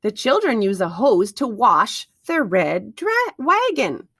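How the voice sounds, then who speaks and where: A woman's voice: mid-range voice, big pitch swings, slow pace, slightly rough, no room sound, studio quality. A woman reading aloud to young children like a teacher, expressively, with questions and wondering asides to her listeners.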